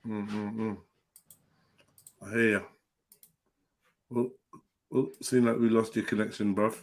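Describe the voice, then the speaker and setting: A man's voice: fairly low pitch, level tone, unhurried, vocal fry. A man hosting a live panel in a casual, slangy, informal way. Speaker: live panel show host.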